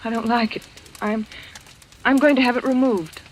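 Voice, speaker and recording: An actress playing a nervous, haunted young woman in a vintage radio drama, speaking in a breathless, halting, melodramatic way. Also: on the high side, fairly even intonation, natural voice. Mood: uneasy dread. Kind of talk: old-time radio drama acting. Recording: noisy vintage radio recording.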